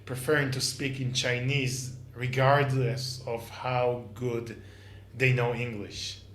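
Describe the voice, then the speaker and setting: Male podcast host, easygoing interviewer, mid pitch, roomy echo. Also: slow, a little hiss or hum. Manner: relaxed, laughs along, asks follow-ups. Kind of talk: casual interview conversation. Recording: echoey room, faint background ambience.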